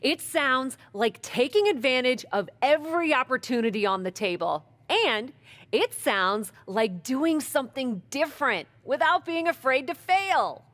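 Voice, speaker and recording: A woman's voice: high-pitched, lively intonation, measured pace, a bit bright. An actress playing a confident young female wrestling champion who talks in a playful, persuasive way. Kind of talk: video game cutscene acting.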